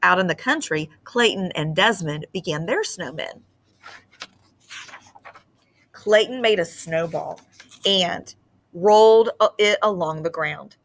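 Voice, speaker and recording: A woman teacher reading a picture book aloud to her class in an expressive, sing-song way. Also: lowish voice, measured pace. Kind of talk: storybook read-aloud for children.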